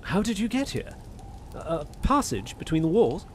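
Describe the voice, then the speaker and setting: An actor playing a bold, sardonic young gentleman in a radio suspense drama, wry and challenging in manner. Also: a little high, big pitch swings, normal speed, a little hiss or hum. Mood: startled.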